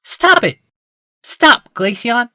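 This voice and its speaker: A man's voice: sing-song, slow pace, pushed and bright, no echo, clean studio sound, heavily acted. A man voicing a patient but exasperated wife in an audiobook, firm and pleading. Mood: indignant. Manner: high, pleading, strained patience.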